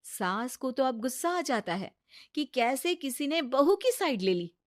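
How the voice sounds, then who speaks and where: High-voiced woman narrating a story. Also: big pitch swings, a little slow, no room sound, clean studio sound. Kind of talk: scripted Hindi storytelling narration.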